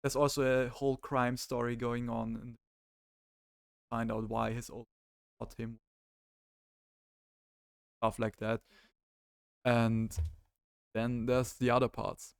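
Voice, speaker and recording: A man's voice: medium pitch, level tone, takes it slowly, vocal fry, long pauses, smooth, no room sound. A man hosting a casual chat about video games, rambling and hesitant, his mic cutting out now and then. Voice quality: rambling, hesitant, many restarts. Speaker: host. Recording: close mic with dropouts.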